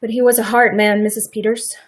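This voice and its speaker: A woman's voice: fairly high pitch, level tone, fairly quick, lightly acted. A woman playing a rueful, blunt farmer's wife with folksy rural speech in a live radio play. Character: farmer's wife Mrs. Hale, regretful, blunt, protective neighbour.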